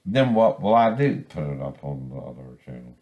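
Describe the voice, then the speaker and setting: A man's voice: a bass voice, big pitch swings, moderate pace, lo-fi sound. A man giving rambling, informal commentary in a bitter, profane, confrontational manner. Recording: echoey, roomy sound.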